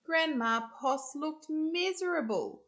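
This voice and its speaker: An Australian woman reading a storybook to children, expressive and deliberate in the manner of a school storyteller. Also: high-pitched.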